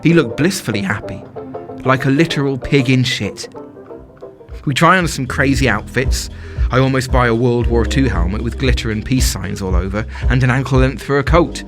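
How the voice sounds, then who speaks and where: A man's voice: mid-range voice, moderate pace, over music, natural voice. An actor playing a smitten, self-doubting young festival-goer, telling his story as a confiding, wry dramatic monologue. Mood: tender, wistful.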